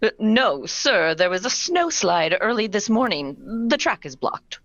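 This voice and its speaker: A woman's voice: mid-range voice, big pitch swings, a little slow, a bit bright, no room sound, highs cut off, pitched below the performer's own voice. A woman playing a polite, deferential hotel clerk in a comic radio play, prim and discreet.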